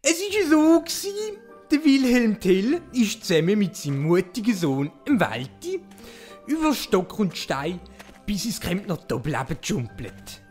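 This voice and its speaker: A narrator telling a comic audio play in a cheeky, lively way with a Swiss German accent. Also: medium pitch, measured pace, soft background music. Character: cheeky, lively storyteller of a mock legend.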